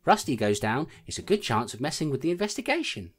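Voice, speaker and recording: A woman's voice: deep voice, sing-song, quick pace, breathy edge, faint music behind, heavily acted. A woman playing a determined constable who talks bluntly and reasons things out aloud.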